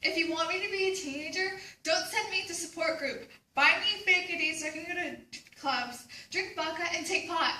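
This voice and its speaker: A woman's voice: very high voice, normal speed, few pauses, sharp tone, full character voice. A woman playing a sulky, sarcastic teenage girl in a readers theater stage performance. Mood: defiant. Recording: echoey room, distant mic, faint noise.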